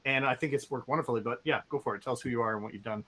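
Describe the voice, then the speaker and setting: A man's voice: mid-range voice, rapid-fire, bright, forceful tone. A man hosting a livestream who talks in an upbeat, rambling way with plenty of fillers. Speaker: game publisher host. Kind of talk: casual livestream chat.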